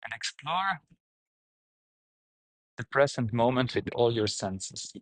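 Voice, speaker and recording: A man guiding a meditation, speaking gently in short, halting phrases with pauses. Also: mid-range voice, expressive, no echo, rough recording. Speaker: meditation teacher, guide. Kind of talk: guided meditation, unscripted instruction.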